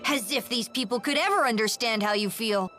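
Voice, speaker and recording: A woman's voice: on the high side, a little fast, over music, close to an everyday voice. A woman voicing a small talking doll in a video game, blunt and taunting in a singsong way. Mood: mocking.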